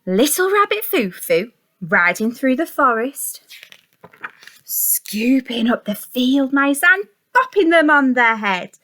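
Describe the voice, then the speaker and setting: A woman's voice: sits high, sing-song, slow, pushed and bright. A woman teacher reading a picture book to young children in a sing-song, exaggerated storytelling way, with questions to the listeners. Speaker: nursery and reception teacher.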